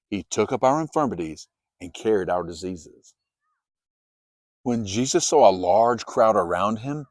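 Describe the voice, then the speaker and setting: A man narrates scripture in an expressive storytelling style. His pitch moves widely as he goes, and the sound is dry, with almost no room on it. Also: dry recording. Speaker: narrator, Bible reader. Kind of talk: dramatized scripted Bible narration.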